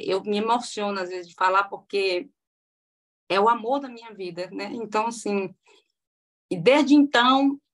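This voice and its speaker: A woman in her forties, a podcast guest, sharing a faith testimony in a hesitant, heartfelt way with many restarts. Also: medium pitch. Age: 40s. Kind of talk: testimony in a casual interview.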